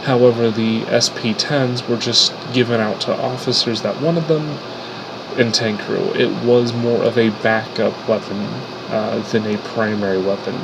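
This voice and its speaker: A man's voice: medium pitch, fairly even intonation, unhurried, noisy background. A man who is sick and congested, explaining his 3D weapon models in a casual, unscripted way with fillers and restarts.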